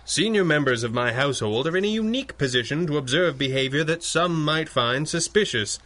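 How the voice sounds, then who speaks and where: A wealthy, unflappable crime-fighting boss in a radio adventure serial. His voice is fairly high for a man and very animated in pitch, with dry, urbane, formal phrasing. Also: brisk. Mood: distracted, patient. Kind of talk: old-time radio adventure acting.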